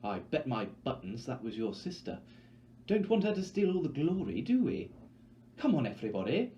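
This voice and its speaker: A man's voice: medium pitch, very animated, moderate pace, dark tone, muffled recording, a little hiss or hum, pitched above the performer's own voice, natural voice. A man voicing a gushing, prim woman master of ceremonies in a trilling, theatrical manner for a story reading. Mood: gushing, bubbly.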